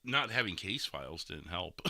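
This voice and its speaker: A man's voice: low voice, very animated, a little slow, gravelly, sharp tone, no room sound, clean studio sound. A man hosting a casual discussion show, talking through recorded gameplay in an informal way with some swearing. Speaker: podcast host.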